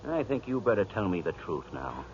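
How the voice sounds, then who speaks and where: Pushy police inspector, swingy delivery, old muffled radio drama. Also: male voice, fairly low pitch, sing-song, brisk. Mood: accusing. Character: probing, relentless male police inspector. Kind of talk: 1940s radio acting. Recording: muffled, noisy 1940s radio broadcast.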